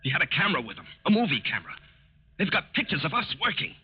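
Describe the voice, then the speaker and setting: A frightened young American son in an old radio crime drama, urgent and anxious. The voice is high and leaps about, heard through a dull vintage recording. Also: male voice, very animated, fast, husky, heavily acted. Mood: rising panic. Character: panicky grown son of a crooked factory owner. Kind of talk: 1940s radio acting.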